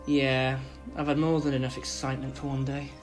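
A woman's voice: extremely low pitch, flat delivery, fairly quick, a little mellow, soft background music. A woman playing an earnest, protective friend in an audio drama.

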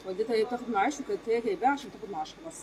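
A woman's voice: on the high side, rapid-fire, crowd noise. A woman in her thirties with an Egyptian accent answers as an eyewitness in a street interview, in a matter-of-fact way. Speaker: neighbour giving eyewitness account. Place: outdoors against a brick wall in a street. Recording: voices around, outdoor street recording.

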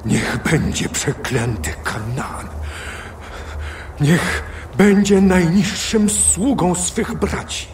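Casting: aged, stern biblical patriarch, drawn-out emphatic delivery, somewhat low, heard at a distance. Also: male voice, big pitch swings, slight background noise, exaggerated voice. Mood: angry, cursing. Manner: very slow, emphatic declaiming. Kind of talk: dramatised audio Bible. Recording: distant from mic, faint outdoor ambience.

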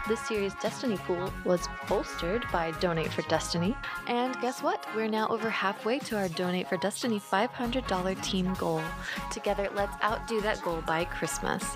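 A woman reading scripted podcast credits and promotional narration as the show's announcer. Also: medium pitch. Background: prominent music.

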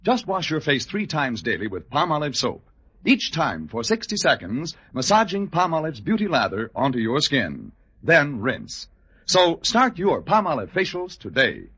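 An American man reads advertising in 1940s radio style, emphatic and instructional. His voice is fairly high, lively and rough-edged, on a dull vintage broadcast. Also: normal speed. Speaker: soap commercial announcer.

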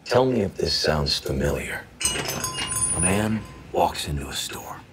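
An actor playing a grim man searching for a vanished writer, speaking in a low, measured, ominous way in a film trailer. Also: lively intonation, noisy background. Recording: trailer audio under loud music.

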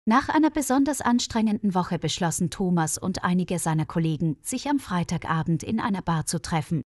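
A woman's voice: on the low side, animated, a little fast, no echo, clean studio sound. A woman reading a scripted story for language learners, enunciating carefully as narrator.

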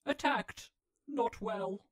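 A woman voicing a battered delivery robot that speaks in halting, repetitive phrases with a creaky voice. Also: a little high. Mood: halting, wounded.

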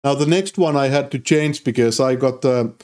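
A man's voice: mid-range voice, moderate pace. A man in his thirties with a Finnish accent, casually walking through his code in a tutorial, with frequent fillers. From Finland. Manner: relaxed, explanatory, frequent "uh" fillers. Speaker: programming YouTuber. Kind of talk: casual screen-recorded coding tutorial. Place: home office, indoors.

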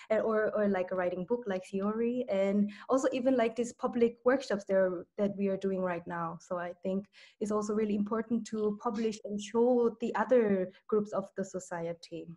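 Young woman with a mellow, hesitant voice. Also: medium pitch. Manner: hesitant, with many fillers and restarts. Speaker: community organizer. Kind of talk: panel discussion over video call.

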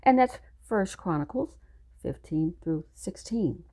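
A woman's voice: on the low side, lively intonation, slow pace, warm tone. A woman teaching a Bible study class, reading scripture aloud in an earnest, instructive way and addressing her listeners directly.

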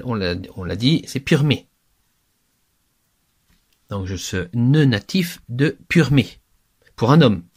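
A man's voice: medium pitch, a little mellow. A man teaching a language lesson over slides, explaining step by step with fillers and restarts.

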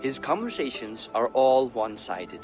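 A man's voice: medium pitch, very animated, normal speed, over music, close to an everyday voice. A young man playing a brash, defiant young Viking prince in a video game cutscene. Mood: earnest, frustrated. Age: young adult. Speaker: game character actor.

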